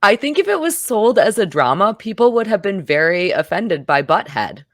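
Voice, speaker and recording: A woman's voice: medium pitch, sing-song, normal speed, fairly bright tone, no room sound, clean studio sound. A woman hosting a casual film discussion, opinionated and given to emphatic repetition. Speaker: podcast host, film reviewer.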